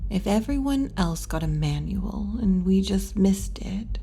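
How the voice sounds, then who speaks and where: A woman voicing a brash yet kindly tomboy wolf girl bartender in an intimate, unhurried ASMR roleplay, often dropping toward a whisper. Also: mid-range voice, lively intonation, gravelly, warm tone, slight background noise. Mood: wistful, gentle. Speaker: bartender character in audio roleplay.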